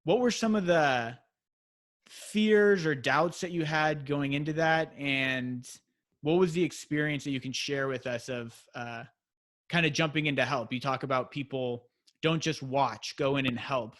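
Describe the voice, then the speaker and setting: A man's voice: mid-range voice, measured pace, fairly bright tone. A man hosting an interview, asking questions in a casual, hesitant way with many fillers. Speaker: interviewer, channel host.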